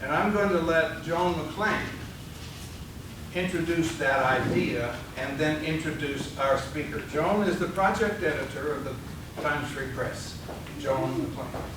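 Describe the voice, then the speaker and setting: A man hosting an event, making slow, measured introductions. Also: a little high. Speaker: event host. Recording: distant mic in an echoey room with noise.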